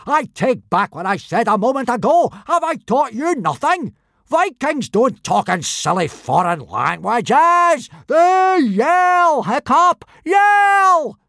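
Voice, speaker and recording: A huge, hairy Viking schoolmaster from a storybook. He roars and blusters his commands, the voice driven up high and swooping, in a dry recording. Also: sing-song, slow, vocal fry, fairly bright tone, no echo, heavily acted. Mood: exasperated. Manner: roaring, bellowing, commanding.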